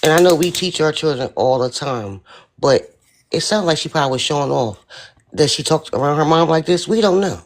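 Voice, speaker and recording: A woman with a deep, raspy voice, talking bluntly as a panelist in a casual live-stream discussion. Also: expressive, brisk. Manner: blunt, talks in long stories.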